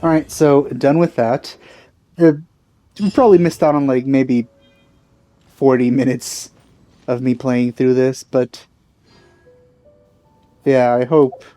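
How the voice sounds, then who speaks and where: Male let's play host: soft-edged dark tone, dry close sound, halting, thoughtful casual commentary. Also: mid-range voice, steady tone, no echo. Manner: musing, hesitant, halting phrasing. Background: game music.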